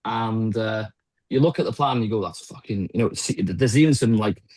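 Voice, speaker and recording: Husky man, low and dry. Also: moderate pace, no room sound. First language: Welsh. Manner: relaxed, hesitant, swears casually. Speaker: podcast guest. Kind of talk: casual podcast chat.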